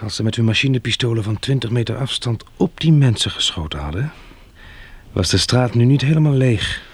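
An actor playing a level-headed, measured male survivor who also narrates a radio drama. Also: mid-range voice, lively intonation, husky. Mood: calm, reasoning.

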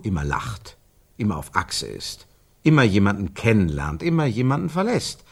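Radio play commentator: husky, lively swings of pitch, emphatic, insistent, repetitive delivery. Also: male voice, normal speed, exaggerated voice. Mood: insistent, defensive. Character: male commentator picturing a woman's life, brisk and insistent. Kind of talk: German radio play, stylised dialogue.

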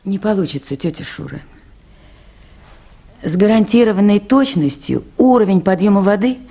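Calm young station worker, medium pitch, earnest, won't budge, old radio drama. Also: female voice, normal speed. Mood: earnest, resolute. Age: young adult. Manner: composed, earnest. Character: calm, stubborn, dutiful young woman river-station worker. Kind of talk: 1980s Soviet radio play acting.

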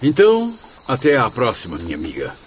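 A man's voice: medium pitch, huge pitch swings, a little fast. An actor in a radio drama playing a grateful, courteous male spirit who speaks in an emotive, formal way. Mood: warm farewell. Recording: clear background noise, chirping insects.

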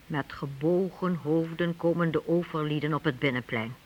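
A man's voice: a little high, normal speed, dull audio. A man giving dramatic scripted narration for a radio play. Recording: faintly noisy old radio recording.

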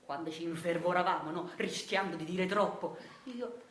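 A woman's voice: on the low side, normal speed, lightly acted. A woman playing a meek, henpecked, middle-aged Neapolitan clerk in a theatrical radio monologue, telling a resigned and self-pitying tale. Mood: wistful. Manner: rueful, self-pitying storytelling. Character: meek henpecked Italian clerk of forty-seven, resigned and wistful. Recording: roomy echo, faint noise.

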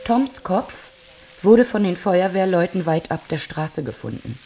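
A woman's voice: lowish voice, sing-song, unhurried, vocal fry, warm tone, a little hiss or hum, heavily acted. An actress playing a wry, detached woman who narrates a bleak tragedy in a literary radio drama. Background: music behind the voice.

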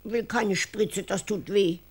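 A woman's voice: mid-range voice, fairly even intonation, slow, a little mellow, slightly rough. A woman voicing a tiny, childish grandma hatched from an egg, with whiny clipped speech and sing-song rhymes in a children's radio drama. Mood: disgusted, stubborn.

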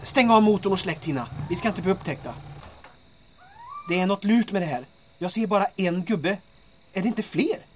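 A woman's voice: medium pitch, expressive, long pauses, slight background noise, natural voice. A woman playing a boastful, jokey young female sergeant and mechanic in an audio drama, bragging and using mock-military phrases. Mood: alarmed, urgent.

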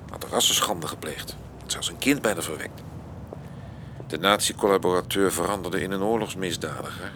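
An actor in a radio play voicing a polite, reflective young male astronomer who speaks in a halting, understated way. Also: sits low, background noise.

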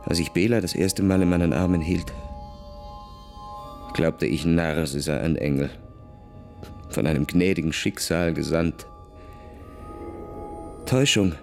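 A young aristocratic officer with a very low voice, jaded and restless. He speaks in a confessional, melancholy way, as in a radio drama, with soft music underneath. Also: measured pace, vocal fry, long pauses. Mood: weary confessing. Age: young adult. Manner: world-weary, confessional. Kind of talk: radio play monologue.

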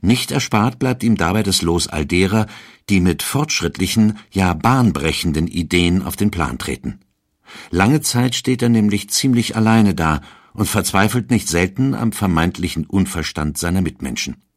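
Deep, dry-sounding male documentary narrator. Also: animated, no echo. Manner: measured, storytelling.

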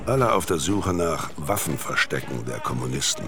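A man's voice: a bass voice, expressive, normal speed, crowd noise. A man playing the knowing, ironic narrator of a crime radio drama, reading scripted narration. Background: music.

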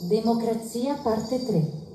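Casting: solemn fable announcer, slow and very mellow, roomy recording. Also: female voice, fairly high pitch. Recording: roomy recording with faint noise.

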